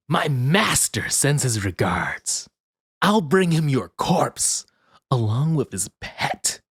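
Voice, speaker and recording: Casting: male actor as a predatory ghoul, husky and dragging, lilting menace, audio drama. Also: mid-range voice, big pitch swings, fairly bright tone, dry recording, studio quality, heavily acted. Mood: gloating. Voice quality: husky, drawn-out hiss. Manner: crooning, predatory, savoring each word. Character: slow, menacing ghoul servant craving blood. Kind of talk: ASMR roleplay audio drama.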